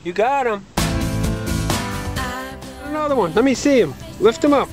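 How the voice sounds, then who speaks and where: A man holding a camcorder outdoors beside a pond, cheering a small child on with an animated, swooping voice. His talk is captured on the camcorder's own mic, and music plays over it. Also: very high voice, measured pace. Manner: encouraging, praising a small child. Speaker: parent filming his son fishing. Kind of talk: home video commentary. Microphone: handheld camcorder.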